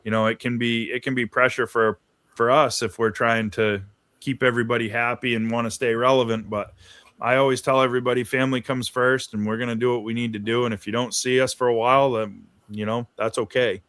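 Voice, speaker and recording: A man's voice: medium pitch, flat delivery, a little fast, fairly bright tone, no echo. A man from Maine chatting casually about his video channel, an easygoing storyteller full of "you know" and "yep". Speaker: host. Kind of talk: casual chat.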